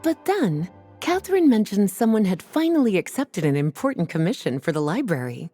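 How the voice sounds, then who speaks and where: A woman's voice: mid-range voice, very animated, normal speed, dark tone. A woman playing a playful, sultry librarian in a video game, speaking in a coy, teasing manner. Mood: amused, relaxed. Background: music.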